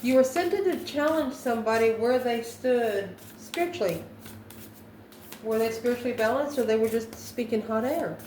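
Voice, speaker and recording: A woman giving an improvised spiritual tarot reading to viewers, musing in a halting way and posing questions to herself. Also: fairly high pitch, lively intonation, darkish tone. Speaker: tarot card reader, channel host. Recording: echoey room, distant mic, faint noise.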